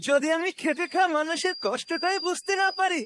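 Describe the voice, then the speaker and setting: A man's voice: extremely high pitch, fairly quick, a bit bright, slight background noise. A man voicing a humble, earnest young man in a cartoon, polite and reassuring. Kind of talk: cartoon dubbing.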